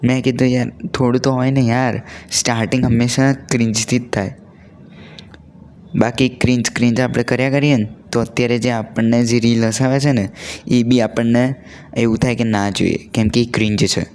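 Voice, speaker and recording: A man's voice: mid-range voice, little pitch movement, normal speed, darkish tone, clear, resonant tone. A young adult man chats casually and earnestly, telling stories in a conversational way and mixing in English words. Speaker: vlogger.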